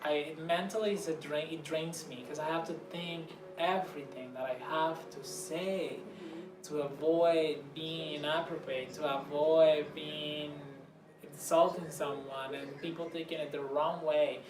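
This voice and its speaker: A man's voice: fairly high pitch, fairly even intonation, a little slow. Male interviewee, Puerto Rican Spanish accent, considered delivery, distant mic, noisy room. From Puerto Rico. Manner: thoughtful, searching for English words. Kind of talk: casual interview answers. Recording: roomy, distant mic, background noise.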